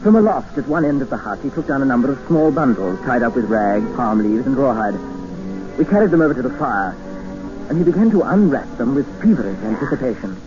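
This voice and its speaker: A man's voice: medium pitch, very animated, a little fast, over music, natural voice. An actor playing a polite, uneasy male traveller in a 1950s radio drama, tentative and questioning. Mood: grave narration. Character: courteous, uneasy male traveller stranded in the jungle. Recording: muffled, hissy 1950s radio recording.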